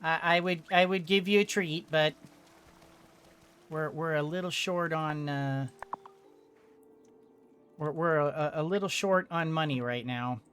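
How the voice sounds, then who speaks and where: A gamer giving casual commentary on a video game in a halting way, thinking aloud and repeating words. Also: medium pitch, a bit bright, soft background music. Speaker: gamer, let's-play host. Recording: clearly noisy background.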